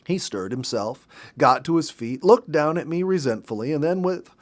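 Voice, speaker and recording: A man in his fifties reading a story aloud in an expressive, measured narrator's manner with dramatic emphasis. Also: a little high. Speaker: storybook reader. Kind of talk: expressive read-aloud narration of a nature memoir.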